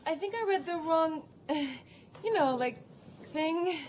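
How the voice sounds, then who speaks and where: A woman's voice: very high voice, animated, slow pace, darkish tone, a little hiss or hum, exaggerated voice. A woman playing a dim, timid, whiny teenage schoolgirl who speaks hesitantly in confusion. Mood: confused, pleading.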